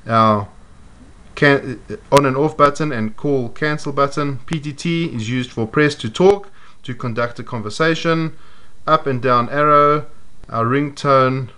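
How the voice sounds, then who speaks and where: A man giving a measured, step-by-step walkthrough of programming a device, voiced over close-up footage. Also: mid-range voice, sharp tone, clear, resonant tone. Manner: measured, methodical explaining. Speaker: product demonstrator. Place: indoors at a table.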